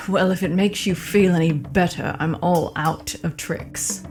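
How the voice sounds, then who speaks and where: A woman's voice: on the low side, fairly even intonation, unhurried, faint music behind. A woman playing a scornful, sly captive android assassin in a sci-fi radio drama. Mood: wry, resigned.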